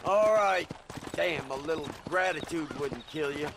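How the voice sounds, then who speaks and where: An actor playing a folksy, grumbling old ranch hand with an American Western accent and a gravelly voice in a video game. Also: male voice, fairly high pitch, a little slow, background noise. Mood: wry grumbling. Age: older. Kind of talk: video game cutscene acting.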